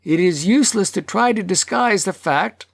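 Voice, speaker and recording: A narrator voicing a grave, formal male chairman of a town meeting in an audiobook, speaking in measured declamation. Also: mid-range voice, animated, creaky, pitched above the performer's own voice. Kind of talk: acted audiobook dialogue.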